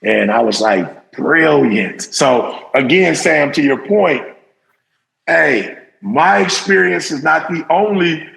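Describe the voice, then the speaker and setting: A man hosting a panel talk, retelling conversations in a lively, animated way. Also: on the high side, slow, gravelly, poor audio. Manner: animated storytelling, acts out quotes. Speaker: podcast host. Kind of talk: casual panel chat.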